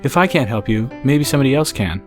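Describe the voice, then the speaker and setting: An actor playing a gentle but persistent schoolboy in an audiobook, speaking softly and sincerely. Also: male voice, mid-range voice, expressive, quick pace, over music, natural voice. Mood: earnest, pleading. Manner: soft, sincere, pleading. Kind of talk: audiobook character acting.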